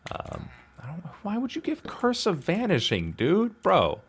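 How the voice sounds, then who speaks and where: A man's voice: high voice, wildly swooping pitch, unhurried, husky. A man giving casual gameplay commentary, talking to himself in a slangy, self-deprecating, exclamatory way. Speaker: gaming video host.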